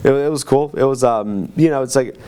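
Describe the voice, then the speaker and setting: A man co-hosting a podcast, chatting casually about TV in a halting, rambling way. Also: medium pitch, dark tone, a little hiss or hum. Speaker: podcast co-host. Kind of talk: casual chat about TV shows.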